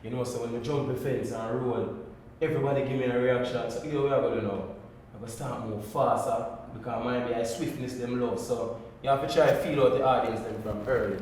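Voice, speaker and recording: A man's voice: mid-range voice, steady tone, fairly quick, a little mellow. A man with a Jamaican accent chatting casually in Patois as a pantomime actor on a group podcast. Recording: very echoey room, distant mic, faint noise.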